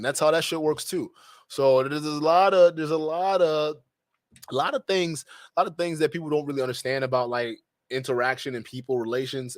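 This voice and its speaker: A young man from Nigeria hosting a live stream, giving an animated, preachy monologue with slangy asides. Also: mid-range voice, no room sound, clean studio sound.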